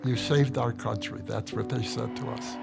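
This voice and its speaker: A man's voice: medium pitch, steady tone, normal speed, vocal fry. An older veteran man reminiscing emphatically in an interview. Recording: interview with loud music under it.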